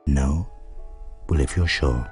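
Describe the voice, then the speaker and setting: A man voicing a sly old talking hat, musing and deliberate as he persuades, in a storybook narration. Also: extremely low pitch, over music, pitched below the performer's own voice.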